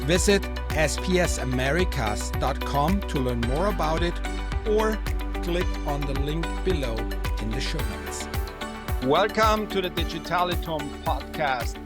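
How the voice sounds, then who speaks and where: A man hosting a podcast, reading a scripted intro and sponsor message and then asking his guest simple questions in a friendly way. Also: fairly high pitch. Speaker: podcast host. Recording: loud music behind the voice.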